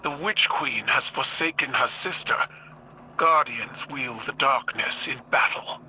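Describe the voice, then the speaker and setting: A proud alien warrior empress delivers stately, formal lines in a video game. The voice is grave and declarative, with clear background noise around it. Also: medium pitch, a little slow, pushed and bright, low-quality recording. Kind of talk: dramatic video game voice acting.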